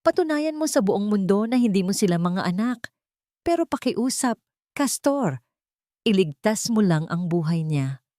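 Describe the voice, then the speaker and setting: A woman's voice: medium pitch, very animated, unhurried, dark tone, dry recording, studio quality, voice pushed up. A woman voicing a desperate young mother who pleads through tears, her voice raised and breaking.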